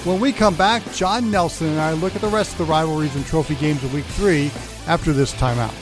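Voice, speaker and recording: A man with a fairly high voice hosts a sports program. He talks through games and records in an informative, conversational way. Faint music can be heard around his voice. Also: fairly quick. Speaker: sports show host.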